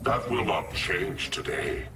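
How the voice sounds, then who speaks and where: A man playing a solemn, imperious sorcerer in a video game, with grave pronouncements and otherworldly processing. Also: slow pace. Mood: stern, solemn. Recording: echoing, distant, under loud noise.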